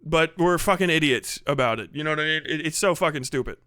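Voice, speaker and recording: A man's voice: a little high, fast, bright, forceful tone, smooth. A man streamer ranting casually and sarcastically, swearing and laughing often. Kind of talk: casual live stream rant.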